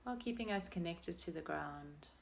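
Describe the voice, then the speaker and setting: A woman leading a guided meditation as a teacher, speaking in a gentle, unhurried and soothing way with pauses between phrases. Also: lowish voice, expressive, creaky, a little hiss or hum. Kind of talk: guided meditation, body scan.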